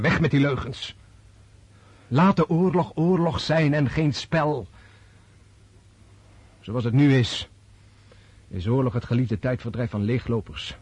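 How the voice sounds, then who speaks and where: An actor in a Dutch radio play voicing a disillusioned, brooding military officer, intense and bitter, with halting, reflective pauses. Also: male voice, medium pitch, a little mellow, breathy edge, no echo, lightly acted. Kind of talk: radio drama acting.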